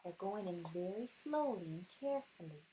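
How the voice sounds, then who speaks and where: A woman's voice: medium pitch, lively intonation, normal speed, warm tone, slight background noise, low-quality recording. A woman reading a picture book aloud to young children, acting out sound words expressively and chatting to her listeners. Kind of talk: animated read-aloud with asides to young listeners.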